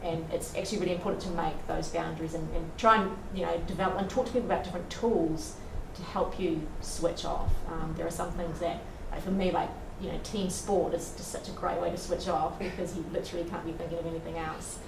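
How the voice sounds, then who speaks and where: A woman's voice: lowish voice, fairly even intonation, quick pace, vocal fry, hardly any pauses, recorded from a distance. A woman on a discussion panel, talking earnestly and conversationally with many fillers. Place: lecture room at an evening event. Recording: roomy hall from afar with noise.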